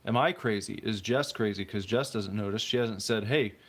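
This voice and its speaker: A man's voice: on the low side, normal speed. A man hosting a casual home live stream through a phone mic, rambling and reading chat aloud. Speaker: streamer host. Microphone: phone mic on a tripod.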